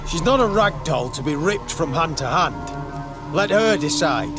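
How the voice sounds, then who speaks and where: A man's voice: fairly high pitch, sing-song, a little slow. A man playing a wary, plain-spoken military leader in a video game, speaking with command. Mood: firm, wary. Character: wary, commanding soldier leading his men. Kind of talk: dramatic video game acting. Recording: music behind the voice. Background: game music.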